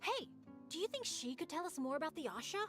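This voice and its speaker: A woman's voice: sits high, big pitch swings, fast talker, a bit bright, soft background music. A woman voicing an earnest, good-natured teenage boy adventurer in a video game, youthful and boyish. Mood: eager.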